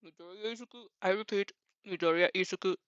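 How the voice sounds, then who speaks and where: A woman voicing a formal mall announcer in a measured public announcement for a fan fiction reading. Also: mid-range voice, no echo, dull audio, natural voice.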